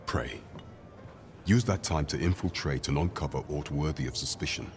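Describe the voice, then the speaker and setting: Very deep-voiced, grave royal officer. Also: male voice, normal speed, faint music behind. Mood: grave, urgent. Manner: formal, deferential archaic speech. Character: grave, loyal male royal officer, discreet and formal. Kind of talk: scripted fantasy game dialogue.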